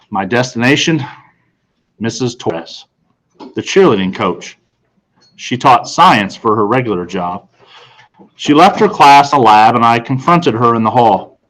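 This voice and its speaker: A man's voice: mid-range voice, animated, unhurried, long pauses, fairly bright tone, low-quality recording. A man reading scripted children's fiction aloud as an audiobook narrator.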